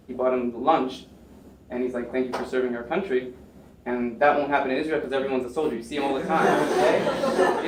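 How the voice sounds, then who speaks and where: A young American man on a panel, telling his story casually with many fillers and restarts. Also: mid-range voice. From United States. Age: young adult. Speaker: panel speaker. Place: lecture room. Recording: echoey room, mic far off, faint noise.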